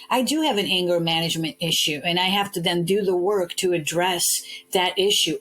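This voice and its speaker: Woman guest on a podcast, lawyer type, direct and emphatic, normal pitch. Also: slightly rough, low-quality recording. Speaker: podcast guest, attorney and speaker. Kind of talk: passionate interview answers.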